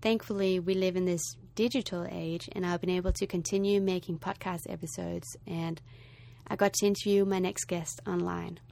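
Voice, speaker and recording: A woman's voice: lowish voice, fairly even intonation, normal speed, vocal fry. A woman in her thirties from Denmark with a light Danish accent, hosting a podcast and chatting casually with lots of fillers. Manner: chatty, warm filler-laden storytelling. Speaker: podcast host. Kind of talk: podcast intro and casual interview talk.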